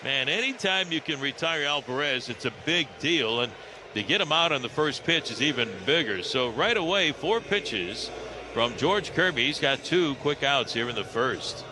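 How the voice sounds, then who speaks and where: An American man calling live baseball play-by-play on the radio, with conversational, folksy asides between pitches. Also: expressive, moderate pace, background noise. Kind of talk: live sports commentary. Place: broadcast position at an open-roof ballpark.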